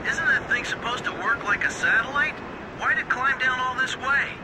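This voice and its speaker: A man's voice: very high-pitched, normal speed, background noise. An actor playing a male launch control crewman, talking casually in video game radio chatter. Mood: curious.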